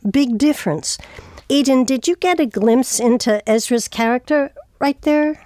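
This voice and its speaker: A woman's voice: high voice, unhurried, mellow, dry recording, studio quality. A woman hosting an interview, putting prepared questions to her guests. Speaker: interviewer, host.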